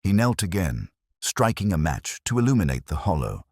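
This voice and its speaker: Male narrator for mystery fiction: extremely low, husky voice, expressive swings in pitch, dry close sound. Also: moderate pace, no echo. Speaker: audiobook-style narrator. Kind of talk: scripted first-person mystery story narration.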